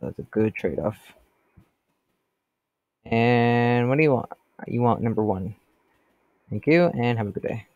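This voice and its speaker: Teenage boy, stuffy from a cold, rambling gameplay chat, medium pitch, dry sound. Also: expressive, unhurried, pauses often, darkish tone, dry recording, muffled recording. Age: teens. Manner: chatty, rambling, talks to customers. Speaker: gameplay commentator. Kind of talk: casual gameplay commentary.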